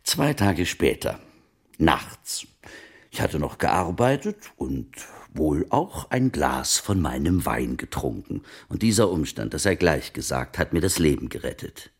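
An actor in a German radio drama playing a wily, wealthy Italian merchant of old, with a gravelly, husky voice and a theatrical, insinuating delivery. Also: male voice, very deep voice, very animated, measured pace, heavily acted. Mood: dramatic, suspenseful.

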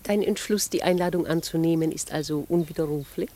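An actress playing an elegant upper-class wife with a poised, coolly ironic manner, in 1950s German radio drama style. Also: female voice, sits low, fairly quick, dark tone. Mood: cool mockery.

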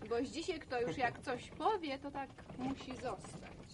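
High-voiced chatty country-born nurse. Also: female voice, measured pace, background noise. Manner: chatty, lightly teasing. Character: chatty young village-born nurse back from the city. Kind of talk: Polish radio drama acting.

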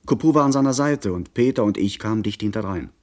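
An actor playing a young gentleman who recounts a pirate adventure as the narrator of a radio play, in measured literary storytelling. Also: on the low side, lively intonation, lightly acted. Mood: tense, narrating.

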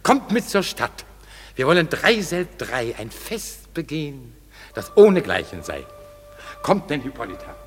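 A ruling duke of a classic radio drama, declaiming his orders with authority. His pitch swings lively up and down, and each phrase trails into long, hall-like reverb. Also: measured pace, breathy edge, faint music behind, full character voice. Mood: commanding. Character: commanding duke, lord of the hunt. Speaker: ruler. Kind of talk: classic radio play acting.

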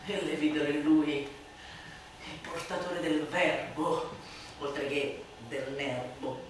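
A woman performing a satirical literary monologue for radio theatre in a declamatory, sardonic manner, swinging between hushed and shouted delivery. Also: medium pitch. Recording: very echoey room, distant mic, faint noise.

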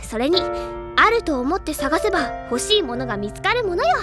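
A woman voicing an excitable, bookish teenage girl in a Japanese audio drama, with expressive, theatrical, feminine phrasing. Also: very high voice, brisk. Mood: brisk, assertive. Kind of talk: Japanese audio drama acting, anime style. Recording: studio drama with music behind. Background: background music.

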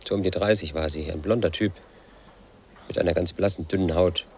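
A brooding, resentful painter. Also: male voice, brisk, a little mellow, slight background noise. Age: late 30s. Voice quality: low, brooding, bitter inner monologue. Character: brooding resentful house painter, 38, lonely and suspicious. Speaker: protagonist narrating his life. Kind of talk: radio drama monologue.

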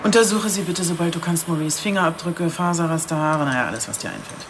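Female actor for radio thriller: determined middle-aged chief inspector, low register, brusque, over underscoring music. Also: fast talker, lightly acted. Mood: businesslike. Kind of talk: German radio crime drama acting.

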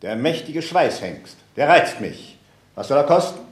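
A man's voice: fairly high pitch, big pitch swings, measured pace, slightly rough, dry recording, full character voice. An actor playing a haughty, sneering man of the castle in a German radio play, with an imperious manner. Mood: covetous. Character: haughty, sneering male castle official or young nobleman.

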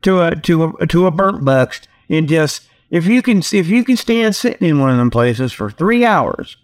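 High-pitched folksy man rambling comically. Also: lively intonation, normal speed. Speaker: host of a comedy talk show. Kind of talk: rambling comic monologue with mock sales pitches.

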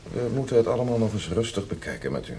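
An actor playing a genial, gently ironic hospital professor in a Dutch radio drama, speaking in a measured, courteous way. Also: male voice, fairly low pitch, big pitch swings. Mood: kindly, reassuring. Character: genial male hospital professor, courteous, teasing, paternal doctor. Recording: noisy old radio play recording.

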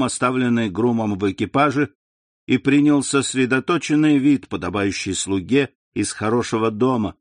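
A male audiobook narrator reading a classic novel at a measured, even pace. His voice is mid-pitched for a man, recorded on a close mic in a dry booth with no echo.

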